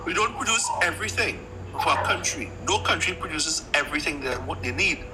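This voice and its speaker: A man's voice: fairly high pitch, lively intonation, brisk, over music, rough recording. A man who calls in to a live chat and explains trade step by step, with many restarts. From Trinidad. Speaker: caller joining the live chat.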